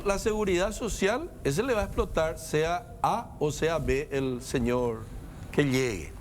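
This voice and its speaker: A man speaking Spanish as a non-native speaker, a guest giving measured, lecturing political commentary in a talk show. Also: a little high, a little hiss or hum. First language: not Spanish. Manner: measured, lecturing, emphatic lists. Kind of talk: political discussion.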